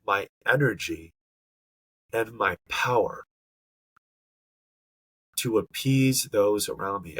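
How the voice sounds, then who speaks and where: A man's voice: lowish voice, a bit bright, husky, dry recording, poor audio. A man on a podcast, talking earnestly and emphatically with a stuffy nose from allergies, often searching for words. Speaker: podcast co-host. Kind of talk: casual podcast conversation.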